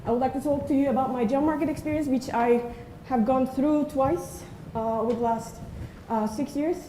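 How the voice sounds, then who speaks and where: A woman, giving an earnest, friendly conference talk with frequent pauses. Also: sits high, steady tone, warm tone. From Turkey. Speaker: invited speaker, assistant professor. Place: lecture room. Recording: echoey room, faint noise.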